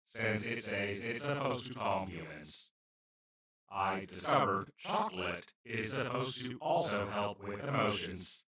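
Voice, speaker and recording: A man playing a kind, awkward alien in a comedic audio roleplay, speaking to the listener in a gentle, earnest, apologetic and slightly formal, rambling way. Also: on the low side, heavily acted. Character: kind, awkward, well-meaning male alien abductor. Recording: roomy, echoing, distant-sounding voice.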